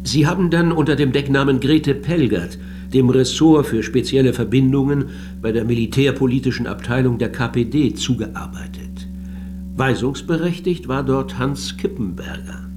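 A polite but persistent male researcher, played by an actor in a radio drama. He has a mid-pitched voice and questions in a measured, courteous way. Clear guitar music sits behind him. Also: natural voice. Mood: probing. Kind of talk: German radio drama acting.

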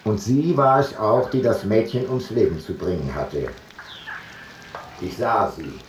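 An actor playing an ancient traveller who gives a measured, detached eyewitness account in a literary radio play. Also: male voice, fairly low pitch, breathy edge. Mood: sober, observant. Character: sober ancient traveller reporting a foreign funeral rite.

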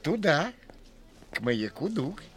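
A man's voice: fairly high pitch, very animated, slow, fairly bright tone, a little hiss or hum, heavily acted. An actor playing a blunt, mocking old school watchman in a 1960s radio drama. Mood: matter-of-fact.

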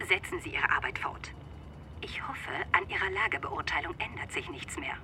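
An actress playing a demanding, jealous client in a radio crime drama, insistent and dramatic. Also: female voice, low voice, animated, normal speed, in a whisper. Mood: hushed warning. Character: demanding, jealous, imperious female client of a detective. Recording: clearly noisy background.

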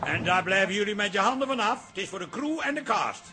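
A devoted middle-aged husband in a radio comedy. He is earnest and a bit fussy, with a very high, sharp voice for a man. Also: animated, quick pace, soft background music. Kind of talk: Dutch radio comedy drama acting.

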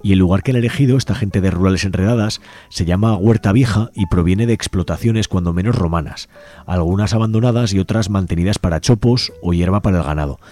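Low, dark-voiced Spanish radio presenter. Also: male voice, faint music behind. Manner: measured, slightly halting delivery. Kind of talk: community radio presentation, partly read aloud.

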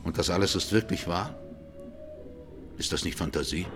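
Casting: courtroom judge, deep male voice, sober and formal interrogator for a radio play, faint music bed. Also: normal speed. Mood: sober, probing. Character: probing male judge questioning a witness. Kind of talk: radio drama courtroom questioning.

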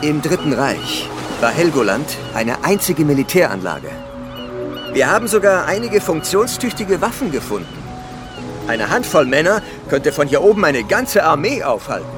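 A calm island survivor chief in a radio drama. His fairly high male voice is measured and patronizing, used to being obeyed. Music plays clearly behind him. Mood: grave, explanatory. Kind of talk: German radio drama acting.

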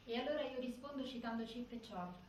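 A woman's voice: fairly high pitch, a little fast, a little mellow, natural voice. A woman performing a formal, rhetorical stage monologue as someone arguing indignantly against a judicial ruling. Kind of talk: scripted theatrical monologue. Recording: very echoey, distant, faint noise.

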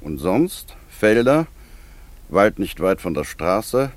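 Casting: curious, matter-of-fact motorist husband in a radio play, lowish pitch, hugely varied intonation. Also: male voice, very animated, takes it slowly, dry recording, exaggerated voice. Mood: brisk, matter-of-fact. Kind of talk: German radio play acting.

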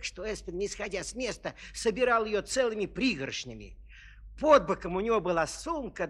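A man narrating a children's fairy tale as a storyteller, expressive and playful, savouring the words. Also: on the high side, breathy edge.

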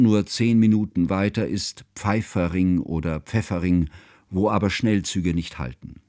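An actor playing an earnest, scholarly friend narrating a composer's life in a formal, measured literary radio drama style. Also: male voice, on the low side, a little mellow, dry recording, studio quality, lightly acted.

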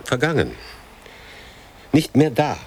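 An actor playing a resigned, forlorn old man in a radio drama, gentle and laconic, speaking in short fragments. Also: medium pitch, sing-song, takes it slowly, a little hiss or hum. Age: older.

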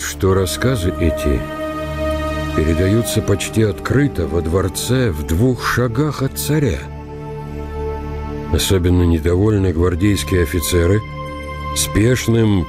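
An aging nobleman and old soldier in a radio play, his voice fairly low. He muses in a deliberate, calculating inner monologue, brooding over his thoughts, with music behind him. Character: aging male count and veteran commander, brooding, calculating. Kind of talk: radio drama acting.

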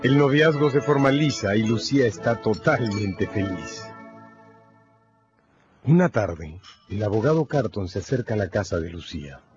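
A man narrating a radio novel in a scripted, dramatic storytelling style with measured phrasing. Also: on the low side, animated, dull audio, over music.

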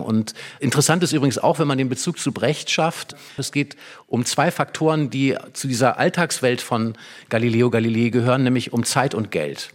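A man speaking as an expert guest, analysing literature conversationally and explaining with examples and small tag questions. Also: mid-range voice.

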